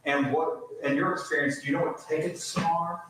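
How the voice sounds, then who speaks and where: A man's voice: on the high side, flat delivery, normal speed, fairly bright tone. A man questioning a witness as a lawyer in a formal courtroom style. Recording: very echoey courtroom, faint noise.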